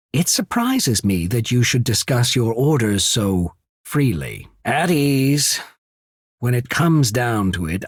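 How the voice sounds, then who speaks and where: A man's voice: mid-range voice, breathy edge, no room sound, studio quality, exaggerated voice. A man voicing a composed, courteous and shrewd diplomat of an island empire in a fantasy video game, speaking formally and with understatement. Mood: solemn, formal. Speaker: voice actor for a video game character. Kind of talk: scripted fantasy game dialogue.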